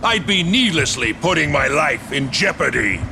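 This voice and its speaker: Creaky, high-voiced scheming general. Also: male voice, very animated, noisy background. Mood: smug, menacing. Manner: slow, sneering, theatrical. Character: scheming, treacherous male army general, cruel and smug. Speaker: voice actor in a fantasy video game. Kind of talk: dramatic game dub acting.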